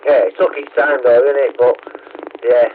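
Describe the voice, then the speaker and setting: A man's voice: medium pitch, steady tone, moderate pace. A middle-aged man with a Northern English accent chatting casually and earthily as a CB radio operator. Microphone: CB radio microphone. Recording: noisy, tinny CB radio transmission with clicks.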